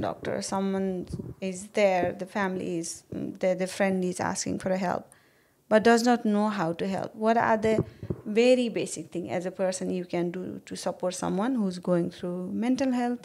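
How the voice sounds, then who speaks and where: Woman, from Nepal, podcast host, medium pitch, conversational interviewing, informal phrasing. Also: darkish tone.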